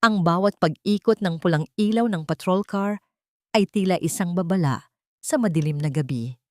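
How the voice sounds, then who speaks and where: Woman narrator, lower-pitched voice, solemn suspenseful delivery, scripted drama storytelling, dry close recording. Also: moderate pace, dry recording. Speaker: narrator of audio drama stories.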